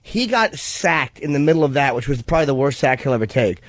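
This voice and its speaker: A man's voice: medium pitch, expressive, quick pace, no room sound. A man co-hosting a radio show, chatting casually about sports and studio business.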